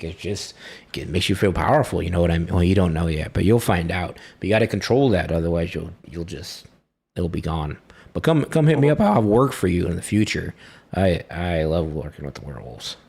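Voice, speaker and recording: A man's voice: low-pitched, expressive, brisk, creaky, a little mellow, husky, full character voice. A man playing a confident, persuasive vampire fixer in a tabletop game, talking casually. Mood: coaxing, friendly. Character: confident male vampire fixer offering favors and mentoring.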